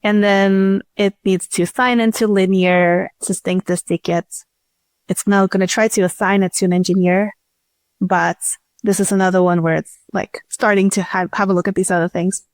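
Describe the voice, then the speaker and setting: A woman's voice: mid-range voice, fairly even intonation, normal speed, dry recording. Woman explaining step by step, dry sound. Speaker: podcast guest, startup chief executive. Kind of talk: casual product demo explanation.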